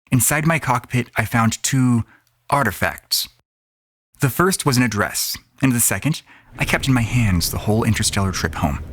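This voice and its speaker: A man's voice: fairly low pitch, no room sound. A man playing a jaded antigravity racing pilot, telling his story in a blunt, colloquial, world-weary way. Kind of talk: first-person audio drama narration and acting. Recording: voice over music. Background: music.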